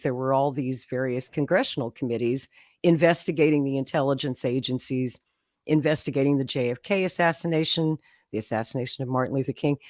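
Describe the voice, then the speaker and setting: A woman tells her story as a guest on a talk show. Her voice sits low, and the sound is dry and close. She talks in a chatty way, laughing often and acting out the words of others. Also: a little mellow, no room sound. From Oklahoma, USA. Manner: chatty, frequent laughter, acts out quotes. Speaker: political guest. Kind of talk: conversational storytelling.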